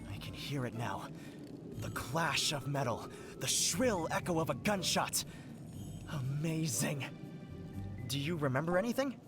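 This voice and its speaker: A pompous would-be novelist. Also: male voice, on the high side, sing-song, a little slow, long pauses. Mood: grandiose. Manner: florid, melodramatic delivery. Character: pompous adult male would-be novelist, theatrical and grandiose. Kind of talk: video game character dialogue. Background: game music.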